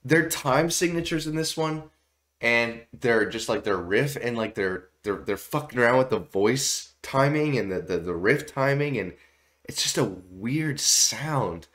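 A young man with a stuffy, nasal voice from a cold, giving excitable, swear-heavy commentary while reacting to songs in a small home room. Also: medium pitch, unhurried. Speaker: music reaction streamer. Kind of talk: casual music reaction commentary.

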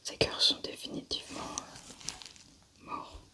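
A young adult woman in a hushed, unhurried ASMR roleplay, methodically narrating each step as a scientist. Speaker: ASMR creator playing an alien autopsy scientist.

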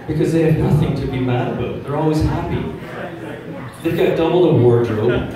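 A man's voice: a little high, normal speed, far from the mic. A middle-aged man born in Czechoslovakia, without an accent, doing a crude stand-up set in a casual, conversational way. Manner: conversational, crude, asks the crowd "right?". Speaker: stand-up comedian. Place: small pub comedy stage with audience. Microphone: handheld mic, filmed from far back. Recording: roomy pub sound from afar. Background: loud crowd laughter.

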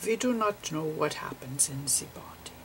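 A woman voicing an eccentric, digressive physicist professor in a whispery, halting ASMR roleplay lecture. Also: low voice, animated, background noise. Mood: musing, pedantic. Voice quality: whispery, breathy. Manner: halting, pedantic, rambling.

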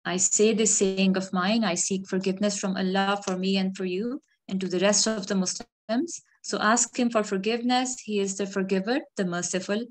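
A woman gives a sermon in a calm, earnest manner, reflective and unhurried in tone. Her voice sits at a middling pitch for a woman. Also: rough recording. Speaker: khutbah speaker. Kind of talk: measured sermon.

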